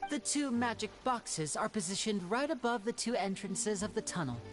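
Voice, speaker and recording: A man's voice: sits high, expressive, moderate pace. A man playing a courteous, theatrical young male stage magician in a game. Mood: suave. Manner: smooth, courteous, teasing. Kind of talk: game cutscene voice acting. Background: game music.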